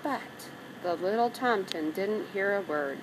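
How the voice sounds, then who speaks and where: A woman reading a children's story aloud in an expressive narrating style. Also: medium pitch, a little slow. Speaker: storybook reader. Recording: clearly noisy background.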